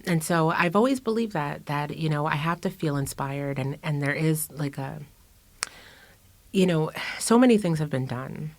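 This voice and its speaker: A woman's voice: sits low, lively intonation, moderate pace, no room sound. A middle-aged woman guest in a casual interview, speaking reflectively with fillers and restarts. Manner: reflective, many fillers and restarts, laughs often. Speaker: guest artist.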